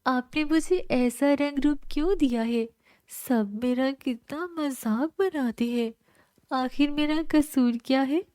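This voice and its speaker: A gentle, lonely schoolgirl from a cartoon fairy tale, acted by a woman. Her voice is high and plaintive, with a pleading edge. She speaks slowly, in a dry recording. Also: no echo. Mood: sad, plaintive.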